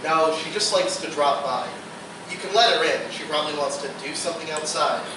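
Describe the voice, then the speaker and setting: A man's voice: on the high side, brisk, natural voice. A man playing a blunt, impatient college art student with a dry delivery in live radio theatre. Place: live stage performance in echoey room. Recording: very echoey hall, distant mic, noisy.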